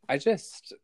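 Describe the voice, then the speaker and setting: An Australian man co-hosting a podcast, gossiping animatedly about reality TV and swearing freely. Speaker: podcast co-host. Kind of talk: casual chat recapping reality TV.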